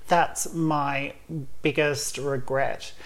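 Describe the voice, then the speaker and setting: A woman with a notably low voice talks openly in a relaxed chat. She laughs often between points. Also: extremely slow. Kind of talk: casual chat.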